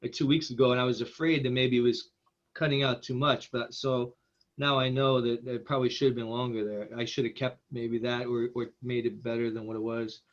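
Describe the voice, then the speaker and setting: A man's voice: medium pitch, level tone, a little fast, muffled recording. A man speaking as a screenwriter in a casual feedback discussion, in a hesitant, self-deprecating manner.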